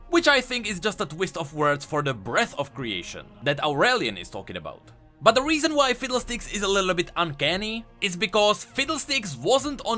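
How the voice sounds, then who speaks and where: A man's voice: high voice, bright, forceful tone. A man hosting a scripted explainer, narrating lore in an emphatic, storytelling way. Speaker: video host and lore commentator.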